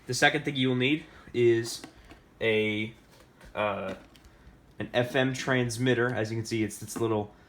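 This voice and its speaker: An American man hosting a casual hands-on how-to, speaking haltingly and often restarting or repeating his phrases, recorded on a phone. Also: mid-range voice, a bit bright. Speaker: tutorial host. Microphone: phone camera recording the demonstration.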